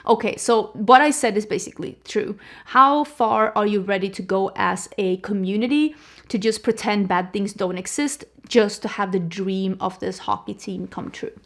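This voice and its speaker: A woman's voice: medium pitch, moderate pace. A woman who grew up in Sweden and speaks Swedish as her first language, chatting casually and animatedly as a book vlogger. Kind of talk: casual chat.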